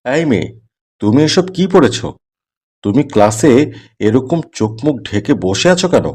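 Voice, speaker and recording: A disapproving college teacher from a moral-tale cartoon, acted by a man. He lectures condescendingly at a medium pitch, with lively rises and falls. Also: normal speed, breathy edge.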